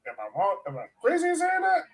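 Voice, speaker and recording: A man's voice: very high-pitched, wildly swooping pitch, fast talker, a crowd in the background. A man hosting a casual sports fan podcast, talking in a conversational, self-questioning way. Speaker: podcast host.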